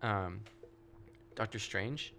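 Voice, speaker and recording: A man's voice: fairly low pitch, drawn-out words, vocal fry, sharp tone. A young man in a casual podcast chat, thoughtfully arguing his point. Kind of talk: casual chat among friends.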